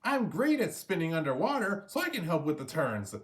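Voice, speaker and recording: A man's voice: on the high side, sing-song, a little fast, voice pushed up. A man voicing a helpful, upbeat young male fish in a children's storybook reading. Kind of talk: children's storybook read-aloud.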